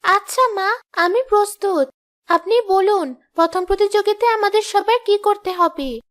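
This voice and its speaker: A woman's voice: very high-pitched, moderate pace, a bit bright. A woman voicing a deferential young daughter-in-law, eager to please, in a fairy-tale cartoon.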